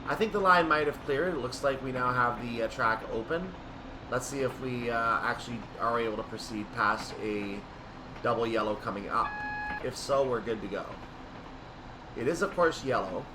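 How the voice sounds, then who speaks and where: Guy talking thru a train sim game, mid pitched voice, explains each step, clear hum behind him. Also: moderate pace. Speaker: video game streamer playing a train simulator. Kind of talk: gameplay commentary, thinking aloud.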